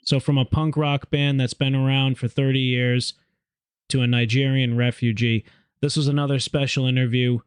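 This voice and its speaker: A man's voice: mid-range voice, level tone, moderate pace, clean tone, soft background music. A man hosting a casual interview podcast, friendly and talking with restarts. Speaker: podcast host.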